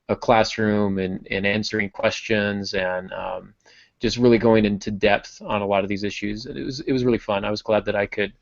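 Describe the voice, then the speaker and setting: A man's voice: on the low side, creaky, dry recording, dull audio. A man hosting a podcast, talking in a friendly, rambling way with restarts and joking asides. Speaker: podcast host. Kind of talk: casual podcast chat.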